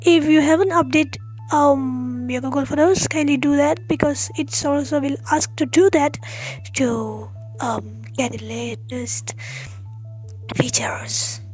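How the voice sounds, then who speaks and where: A woman hosting a tech tutorial, explaining step by step in a halting, friendly way and speaking straight to her viewers. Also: sits high, darkish tone, soft background music. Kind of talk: spoken tutorial walkthrough.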